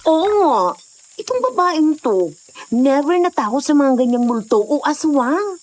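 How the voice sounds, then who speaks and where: A gossipy, superstitious young Filipino woman hiker , played by an actress chats in an audio drama. Her voice is high. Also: very animated, measured pace, darkish tone, dry recording. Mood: chatty. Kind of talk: conversational audio drama acting.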